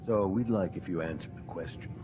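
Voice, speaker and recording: An actor playing a gruff, disdainful gangster in a video game. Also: male voice, low voice, fairly quick, over music, natural voice. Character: gruff, disdainful male gangster, leader's brother. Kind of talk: video game dialogue acting.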